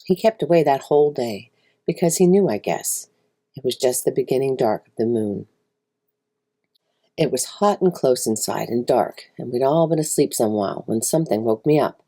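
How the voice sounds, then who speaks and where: Woman voicing a husky, grave mother wolf storyteller. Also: a bass voice, expressive, normal speed, mellow, exaggerated voice. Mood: sorrowful, haunted, then hushed dread. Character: mother wolf telling of her husband's horrifying change, anguished, plainspoken. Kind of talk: first-person dramatic story reading.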